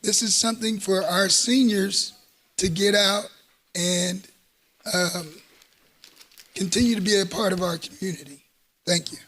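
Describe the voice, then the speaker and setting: Hoarse man speaking slowly at a council podium. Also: high voice, steady tone, a bit bright. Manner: hesitant, many fillers. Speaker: homeowners association president. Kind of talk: public comment at council meeting. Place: council chamber.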